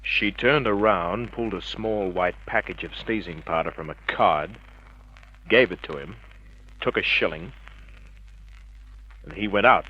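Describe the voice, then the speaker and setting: A hardboiled private eye in a vintage radio crime play. His voice is bright and forceful, the delivery terse, cocky and slangy, on a dull old recording. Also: deep voice, measured pace, pauses often, breathy edge, heavily acted. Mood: wry, matter-of-fact. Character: tough, wisecracking male private detective.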